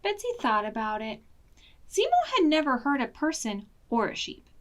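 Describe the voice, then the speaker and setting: A woman's voice: sits high, very animated, moderate pace. A woman reading a picture book aloud to preschoolers as a storytime reader, with dramatic emphasis. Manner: dramatic emphasis, questions to young listeners. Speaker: children's library storytime reader.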